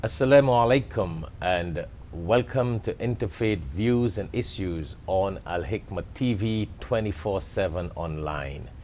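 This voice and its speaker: A man's voice: low-pitched, measured pace, few pauses, darkish tone, a little hiss or hum. A man with a Trinidadian accent hosting an interview in a television studio, speaking with fillers and restarts. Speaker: talk show host.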